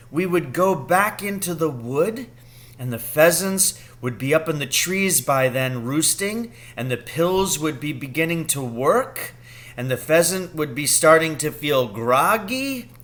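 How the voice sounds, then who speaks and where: A man voicing an excitable, dreamy father in a storybook, speaking in fond exclamations. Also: a little high, unhurried, voice pushed up. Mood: excited, dreamy. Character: excitable, dreamy, affectionate father scheming at poaching.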